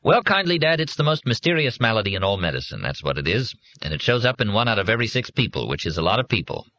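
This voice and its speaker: A man voicing a tense, shaky repairman who talks in an edgy, irritable way and nearly shouts. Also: lowish voice, big pitch swings, fast, voice pushed up, exaggerated voice.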